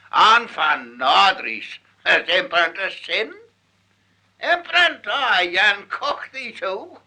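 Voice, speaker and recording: A man's voice: high-pitched, sharp tone, low-quality recording. A man with a Manx accent giving a theatrical, emphatic recitation of dialect poetry on a 1940s archive recording. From Isle of Man. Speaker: Manx language student reciting dialect verse. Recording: 1948 archive field recording.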